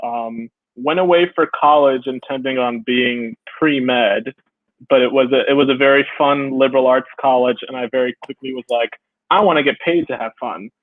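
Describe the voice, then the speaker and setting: A man's voice: mid-range voice, normal speed, no echo. A young man from Brooklyn, chatting as a guest on a video call and laughing often as he tells stories. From Brooklyn, New York. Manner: laughs often, animated storytelling. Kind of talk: casual video-call interview. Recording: tinny phone-like dry sound.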